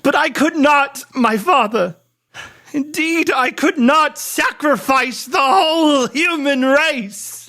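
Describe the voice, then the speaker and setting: A narrator voicing an anguished, guilt-ridden gentleman scientist, declaiming theatrically with drawn-out, impassioned despair. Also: sits high, voice pushed up, full character voice. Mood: frantic, desperate.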